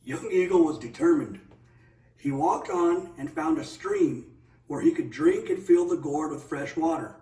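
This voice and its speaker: A man narrating a children's story in an animated read-aloud style. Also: a little high, measured pace. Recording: roomy echo, distant microphone.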